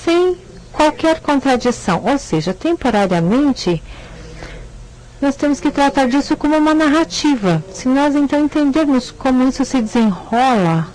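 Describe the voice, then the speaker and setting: An interpreter relaying an academic lecture, pausing and correcting the wording as the speech goes on. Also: high voice, expressive, darkish tone, poor audio. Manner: hesitant, self-correcting. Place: lecture hall.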